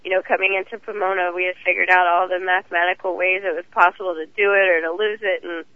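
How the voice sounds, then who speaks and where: A hoarse woman with a cold, talking as the guest in a phone interview, chatty and rambling. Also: on the low side, flat delivery, fast, no echo. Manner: chatty, rambling, laughs easily. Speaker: guest champion driver. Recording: tinny phone line.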